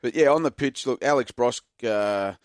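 A man with a croaky voice, giving measured football analysis on a radio segment. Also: medium pitch, dry recording, studio quality. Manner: measured, informative, self-correcting. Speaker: football pundit.

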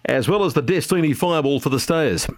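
Australian male, radio racing host, slightly high register, conversational preview delivery with a studio guest. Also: animated, a little fast. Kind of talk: sports preview chat with a guest.

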